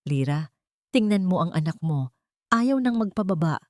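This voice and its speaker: A woman's voice: fairly low pitch, expressive, moderate pace, no echo, lightly acted. Affectionate young husband for a romantic audio story, acted by a female narrator: tender, playful, very dry sound.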